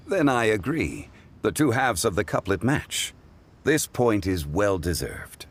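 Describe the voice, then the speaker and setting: A man voicing a calm, learned gentleman in a video game, speaking formally and at verbose length. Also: fairly low pitch, a little hiss or hum. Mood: courteous. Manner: formal, measured, scholarly.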